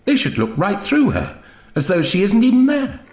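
Young storybook girl, read by a man: eager, earnest, raised pitch, very animated delivery. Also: normal speed, roomy sound, exaggerated voice.

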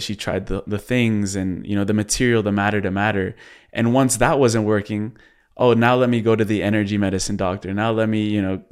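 A man's voice: on the low side, clear, resonant tone. A man hosting a conversational interview, reflective and building his points with repeated phrases. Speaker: podcast host, interviewer.

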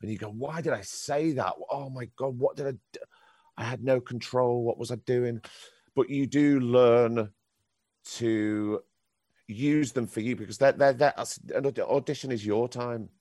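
A man with a very deep voice, a professional actor answering a youth theatre group's questions with candid, encouraging advice. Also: measured pace, mellow, slightly rough, no room sound, clean studio sound. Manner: candid, encouraging advice with frequent ums and restarts.

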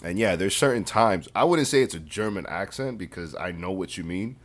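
A man's voice: low voice, animated, a little fast. A man co-hosting a podcast, retelling a news story in casual chat, swearing freely and laughing often. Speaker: podcast co-host.

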